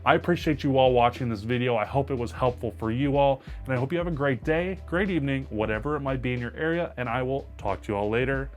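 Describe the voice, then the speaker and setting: A man's voice: mid-range voice, quick pace, hardly any pauses, faint music behind. A man with a powerful voice giving an upbeat, emphatic product review to camera. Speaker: tech reviewer.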